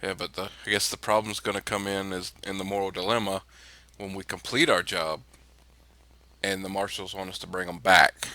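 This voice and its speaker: A man's voice: sits low, flat delivery, moderate pace, sharp tone, dry recording. A man chatting casually as a player in a tabletop game. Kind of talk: casual group game chat.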